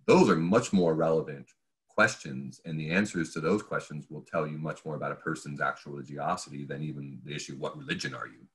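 A man's voice: extremely low pitch, a bit bright. A man who is a professor and podcast guest, talking conversationally in an analytical, discursive way and voicing imagined questions. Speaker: podcast guest, philosophy and religious studies professor.

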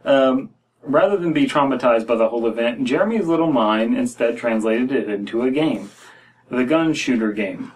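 A man's voice: medium pitch, normal speed, a little mellow, breathy edge, rough recording. Wry American man reading aloud. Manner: wry, critical asides. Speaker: podcast co-host. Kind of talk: reading a horror story aloud with mocking commentary. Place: small room indoors.

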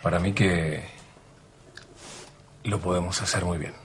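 A man's voice: deep voice, steady tone, a little slow, slight background noise. An actor playing a confident, ambitious surgeon in a telenovela, a persuasive man in his forties with an Argentine accent. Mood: eager, persuasive. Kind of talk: telenovela acting. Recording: music playing under the dialogue.

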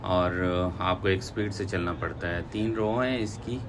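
A man's voice: low-pitched, measured pace, background noise. A middle-aged man with a Pakistani Urdu accent, chatting unhurriedly to a phone camera for a travel vlog, with many fillers and asides. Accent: Urdu-speaking, Pakistani. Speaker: travel vlogger. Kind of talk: casual travel vlog narration. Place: indoors by a plain wall, then driving on a highway. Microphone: phone camera at arm's length.

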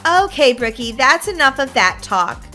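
A woman voicing a firm but kindly female schoolteacher in a patient, gently scolding way. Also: high voice, very animated, normal speed, faint music behind, voice pushed down, heavily acted. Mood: gently stern.

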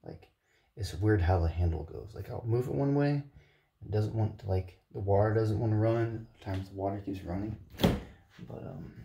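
Casting: late-twenties man, dark mellow low voice, meandering vlog chatter. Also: moderate pace, gravelly, echoey room, dull audio, slight background noise. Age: late 20s. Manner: meandering, many fillers and restarts. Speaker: art vlogger. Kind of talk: casual rambling vlog; voice-over while drawing. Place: small apartment kitchen, indoors. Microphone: handheld camera at arm's length.

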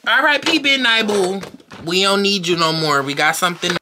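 An excitable young woman in her late teens chats straight into a close handheld camera, dropping slang and breaking into squeals. Her voice sits at a middling pitch for a woman, and a steady hiss of noise runs under the recording. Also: moderate pace. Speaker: vlogger. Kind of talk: casual vlog chat to camera. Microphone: handheld camera close to face.

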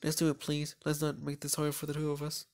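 A secretive, bossy teenage schoolgirl in an anime visual novel, played by a man. The voice is pushed up in pitch and stays hushed and insistent. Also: completely flat delivery, quick pace, natural voice.

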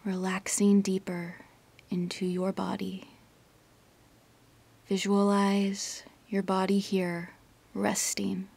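A woman guides a meditation in a soft, husky near-whisper with a creaky edge. Her delivery is gentle and very even in pitch, with long pauses between instructions. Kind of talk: guided yoga nidra meditation.

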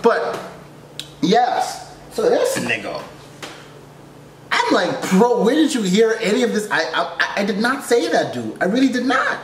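Animated, exasperated young man ranting. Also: high-pitched, a little slow. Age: young adult. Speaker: reaction video host, air traffic controller. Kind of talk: casual reaction commentary with storytelling. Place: small furnished room indoors. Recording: roomy echo, faint background noise.

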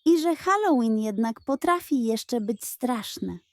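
A woman's voice: a little high, expressive, takes it slowly, no room sound. A woman voicing a pleased, polite goat in a children's story, speaking in a sweetly teasing way.

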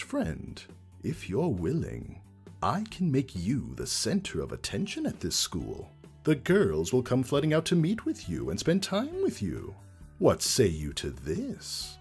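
An actor voicing a mischievous male chaos spirit in a fan fiction reading, theatrical and slyly persuasive, with a husky, raspy delivery. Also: mid-range voice, huge pitch swings, a little mellow, soft background music. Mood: persuasive, smug. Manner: theatrical, drawn-out, swooping delivery.

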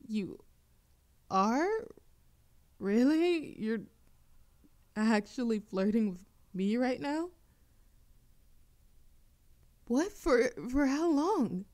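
Slow-talking, teasing young barista played by a woman. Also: on the high side, warm tone. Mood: flustered, disbelieving.

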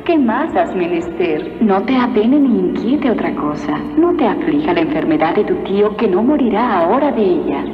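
An actress playing a motherly holy apparition in a cartoon, speaking tenderly and solemnly in measured phrases. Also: mid-range voice, sing-song, faint music behind, close to an everyday voice. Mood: consoling. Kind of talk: solemn dubbed cartoon acting. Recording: echoing, muffled old cartoon soundtrack.

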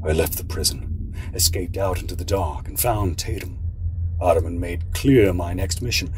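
Man acting a deep, slow-talking, scheming convict. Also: a little mellow. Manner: brooding, deliberate, confessional. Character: fearful, scheming escaped convict serving a dark master. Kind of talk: audio horror drama monologue. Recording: clearly noisy background.

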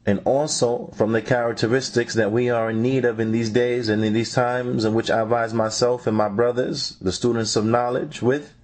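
Man reading religious teaching in measured phrases. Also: mid-range voice, fairly even intonation, a little mellow. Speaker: reader of translated religious teaching. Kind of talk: read-aloud religious translation.

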